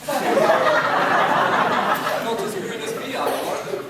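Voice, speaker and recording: A man's voice: extremely high pitch, takes it slowly, exaggerated voice. Man as a swooping, pompous pantomime rival. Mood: excitable. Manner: grandiose, excitable. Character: pompous, squabbling comic male rival candidate. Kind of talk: pantomime stage acting. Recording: far, echoey noisy stage.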